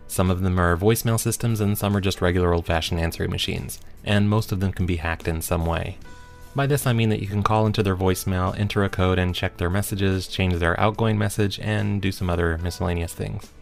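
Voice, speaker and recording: A man's voice: low-pitched, fairly quick, vocal fry, faint music behind. A man hosting a podcast, reading scripted narration. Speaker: podcast host.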